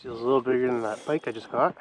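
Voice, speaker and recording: A man with a stuffy, congested voice gives relaxed running commentary as he fishes. He sits at an everyday middle pitch and talks to himself and to the fish. Wind and water noise come through clearly on the outdoor recording. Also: very fast. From Canada. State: congested from a cold. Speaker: fishing vlogger. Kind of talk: casual running commentary while fishing. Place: outdoors in a boat on a lake.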